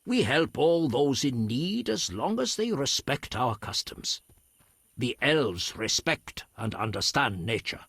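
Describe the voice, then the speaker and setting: Gentle formal druid, husky, wide swooping intonation, unhurried, game dialogue. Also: male voice, mid-range voice, big pitch swings, dry recording, clean studio sound, full character voice. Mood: grave, regretful. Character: serene, courteous male druid elder, lover of nature. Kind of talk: video game dialogue acting.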